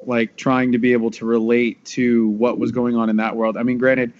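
American man, voice actor, even narrow intonation, candid rambling panel talk, slightly muffled recording. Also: medium pitch, moderate pace. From Texas, USA. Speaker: voice actor discussing an audio drama. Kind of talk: casual panel discussion.

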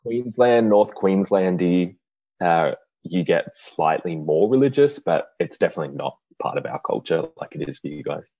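A young man with an Australian accent and a very deep voice talks in an easy, relaxed way, with a dry sense of humor. The sound is close and dry, a little dull and lo-fi. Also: moderate pace, no echo. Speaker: podcast guest. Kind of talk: casual interview.

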